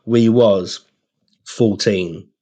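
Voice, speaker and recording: Low-voiced British man, blokey podcast chat. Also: very slow, warm tone. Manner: blokey, sweary banter. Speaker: podcast co-host. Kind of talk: casual chat between friends.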